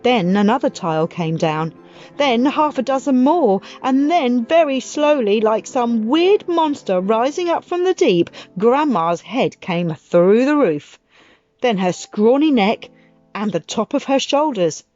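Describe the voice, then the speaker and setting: A woman narrating a children's audiobook as an expressive storyteller. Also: a little high, a little slow, dull audio. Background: music.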